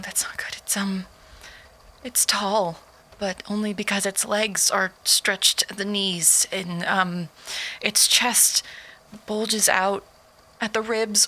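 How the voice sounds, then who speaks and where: A woman playing a frightened survivor in an audio drama, haltingly recording a log of horrors, at times near a whisper. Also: mid-range voice, bright, forceful tone, a little hiss or hum.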